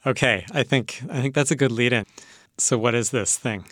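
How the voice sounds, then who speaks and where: A man's voice: medium pitch, normal speed, a bit bright, no echo, studio quality. A man hosting a public radio show, speaking conversationally as an interviewer.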